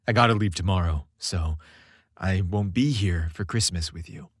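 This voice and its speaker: A man's voice: low-pitched, moderate pace, breathy edge, no echo, clean studio sound, pitched below the performer's own voice. A man voicing an affectionate, hesitant and reassuring young man in a romantic audio story. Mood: hesitant, apologetic. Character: affectionate young man, evasive about his family.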